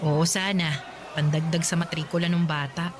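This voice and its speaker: A woman playing a harried, money-tight mother in a Tagalog radio drama, hurried and firm. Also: fairly low pitch, steady tone, heavy background noise, close to an everyday voice. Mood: hopeful, grateful. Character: harried, budget-strapped mother of young children.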